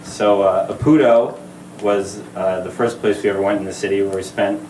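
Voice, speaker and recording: A man in his thirties giving a casual speech to his new wife at a party, halting with many fillers and laughing asides. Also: fairly low pitch, fairly even intonation, darkish tone. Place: party venue with crowd. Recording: echoey room, mic at a distance, background noise.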